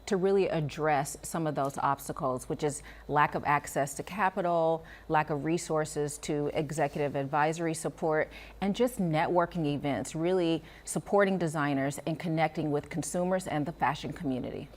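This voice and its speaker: A woman's voice: low voice, normal speed, hardly any pauses. A woman who runs a nonprofit, a guest on a live TV show, speaking in a composed, polished way. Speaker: nonprofit executive director guest. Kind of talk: live TV interview.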